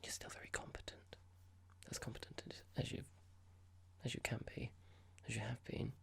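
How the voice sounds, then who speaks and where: An ASMR performer playing a cold, condescending boss in a roleplay, speaking softly in a measured, formal, halting and passive-aggressive way. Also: mid-range voice, completely flat delivery, exaggerated voice. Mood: dismissive, controlled. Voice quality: breathy, creaky whisper. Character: cold condescending boss about to fire an employee. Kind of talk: ASMR roleplay, whispered and soft-spoken.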